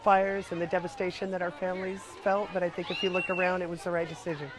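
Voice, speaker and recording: A man's voice: high voice, level tone, fast talker. A man giving spontaneous interview answers as a school principal. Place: outdoor school event. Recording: outdoor crowd noise.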